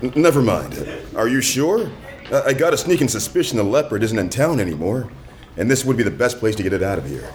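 A man playing a wisecracking hardboiled detective in a noir radio drama, dry and deadpan with his wordplay. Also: medium pitch, brisk, lightly acted. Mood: wry, persistent.

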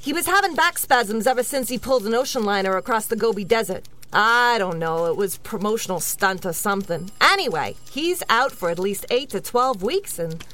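An actress playing a frank, skeptical mayoral aide in a comedy audio drama, dishing gossip matter-of-factly. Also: female voice, medium pitch, animated, moderate pace, hardly any pauses, a bit bright, lightly acted.

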